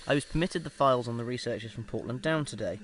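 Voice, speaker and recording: A man's voice: mid-range voice, a bit bright, lightly acted. Man acting a formal research scientist over background noise. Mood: clinical, measured. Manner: formal, clinical, hesitant pauses. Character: measured male research scientist dictating an experiment log. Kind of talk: audio drama monologue as a recorded log.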